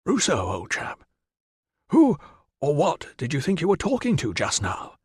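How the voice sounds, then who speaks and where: A brisk, kindly Roman doctor, fussing over others with cheery reassurance, as a male audiobook narrator puts him on. The voice sits higher than the reader's own and the recording is close and dry, with no room sound. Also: wildly swooping pitch, husky, dry recording, exaggerated voice. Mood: reassuring. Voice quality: brisk, reassuring, says 'old chap'.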